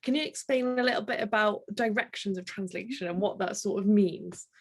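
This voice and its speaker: A woman hosting an interview, asking questions in a friendly way with soft hedges. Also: medium pitch, brisk, slightly rough. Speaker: interview host.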